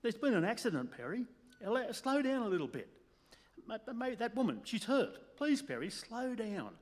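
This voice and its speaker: A man playing an excitable, pleading press photographer in a comic radio play, stammering and repeating himself with urgency. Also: extremely high pitch, moderate pace, full character voice. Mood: pleading, urgent. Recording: reverberant hall.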